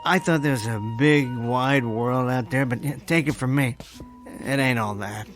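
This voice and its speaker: An actor playing an anxious small-time hustler in a radio drama, with an American accent and streetwise, stammering talk. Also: male voice, mid-range voice, normal speed, a bit bright, faint music behind, lightly acted. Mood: subdued, wistful. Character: anxious, desperate down-on-his-luck small-time hustler, man.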